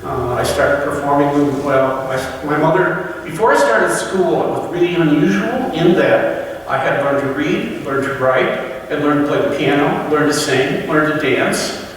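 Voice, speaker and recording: A man giving a personal reflective talk to a fellowship, halting and searching for words with frequent restarts. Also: on the high side, a little hiss or hum. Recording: echoey hall from far off.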